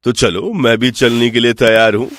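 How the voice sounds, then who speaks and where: A man voicing a fat, crafty, self-important village priest in an animated story. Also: medium pitch, moderate pace, noisy background, natural voice. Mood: pompous. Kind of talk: animated Hindi storytelling dialogue.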